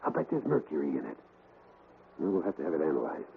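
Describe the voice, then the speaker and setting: A man playing a grave, determined ghost hunter in a deliberate, theatrical 1970s radio drama style. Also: extremely low pitch, huge pitch swings, creaky, exaggerated voice. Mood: intrigued. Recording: muffled, noisy old radio recording.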